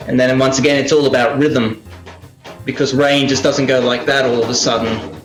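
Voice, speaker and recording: A man's voice: medium pitch, fairly even intonation, normal speed, soft background music. A man explaining his craft as an interview guest in casual chat, speaking over a live video call from an echoing room. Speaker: guest, radio drama storyteller and sound effects maker. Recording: roomy echo on video call.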